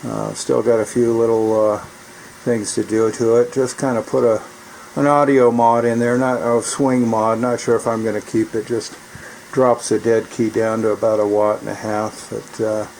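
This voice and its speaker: A man's voice: fairly low pitch, level tone, normal speed, a little mellow, low-quality recording. An American man giving a casual, rambling, folksy demonstration and chatting as a radio hobbyist. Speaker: radio repair hobbyist and CB operator. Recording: clear background noise.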